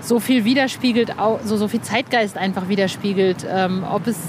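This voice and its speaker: A woman interviewing casually for a podcast, halting and repeating words with a keen fan's excitability. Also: mid-range voice. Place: in Berlin with street traffic audible. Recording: clear city traffic noise behind. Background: car traffic.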